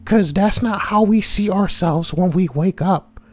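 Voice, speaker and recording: A man's voice: sits high, measured pace, faint music behind. A man voicing a brash, foul-mouthed schoolboy, reading posts for comedy and adding slangy, mocking asides. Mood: incredulous, mocking. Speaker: host reading forum posts. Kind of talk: comedic reading with crude commentary.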